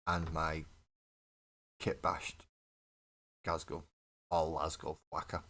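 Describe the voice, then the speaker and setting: Brief: low-voiced man, casual stream host, bit of a cough, chats with viewers. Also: little pitch movement, takes it slowly, fairly bright tone. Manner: chatty, laughs often, talks to viewers in chat. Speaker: mini painting livestream host. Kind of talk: casual chat while building models.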